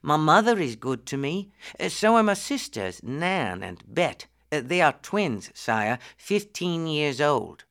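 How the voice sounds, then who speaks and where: Storybook poor lad, acted by a man: high-pitched, deferential, earnest, very lively intonation. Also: slow, hardly any pauses, full character voice. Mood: humble, hesitant. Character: poor ragged boy, humble, eager, deferential. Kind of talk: storybook character reading.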